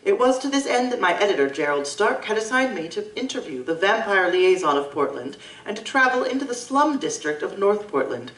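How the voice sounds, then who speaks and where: A woman giving measured, scripted first-person narration for a live audio drama. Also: medium pitch, big pitch swings, fairly bright tone. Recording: roomy live stage from a distance.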